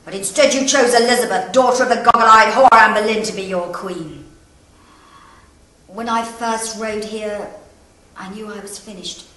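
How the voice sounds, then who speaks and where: A woman's voice: medium pitch, moderate pace, pushed and bright, natural voice. A woman playing a proud, wronged, imprisoned queen in her forties, delivering a grand, declamatory first-person historical monologue. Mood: scornful, commanding. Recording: echoey great hall, distant mic, background noise.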